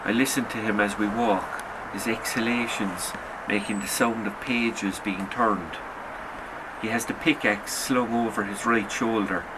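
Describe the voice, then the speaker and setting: Low-voiced man reading fiction over loud noise. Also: steady tone, a little slow. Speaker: author reading own short story. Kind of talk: literary audiobook narration.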